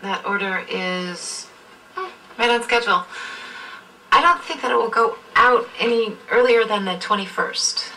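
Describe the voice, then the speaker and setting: A woman's voice: medium pitch, lively intonation, a little slow, bright, forceful tone, a little hiss or hum. A woman acting as a harassed, resigned order clerk in a workplace training drama. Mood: polite, flustered. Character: harassed, resigned female order clerk, anxious about her career. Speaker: actor in a training film. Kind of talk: acted corporate training drama. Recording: distant, re-filmed off a TV screen.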